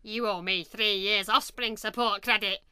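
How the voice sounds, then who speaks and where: Sharp, huffy old ewe, played by a woman. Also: medium pitch, fairly even intonation, normal speed, slight background noise. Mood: outraged. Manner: huffy, homely, bleating. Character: indignant older female sheep, pious religious author. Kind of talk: comedy radio play acting.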